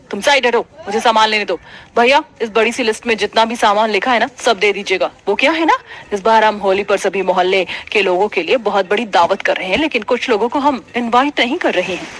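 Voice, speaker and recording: A woman's voice: medium pitch, a bit bright, lightly acted. A woman playing a haughty, taunting rich neighbour in a cartoon story. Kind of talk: Hindi cartoon story voice acting.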